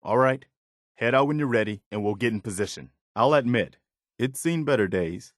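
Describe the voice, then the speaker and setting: An actor voicing an earnest, resolute militia leader who rallies settlers, in video game dialogue. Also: male voice, medium pitch, lively intonation, normal speed, no echo, clean studio sound, natural voice. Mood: businesslike.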